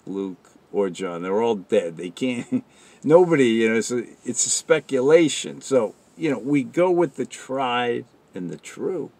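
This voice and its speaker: A man's voice: medium pitch, darkish tone. A man giving an informal religious monologue in an earnest, rambling, conversational way. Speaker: religious commentator.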